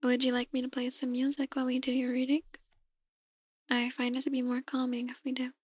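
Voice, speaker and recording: A woman playing a soft-spoken, ominous demon tarot reader in an ASMR roleplay, speaking in a measured, breathy near-whisper. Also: sits high, completely flat delivery, no room sound, studio quality. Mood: calm, inviting. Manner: slow, measured, gently ominous. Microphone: close, dry microphone.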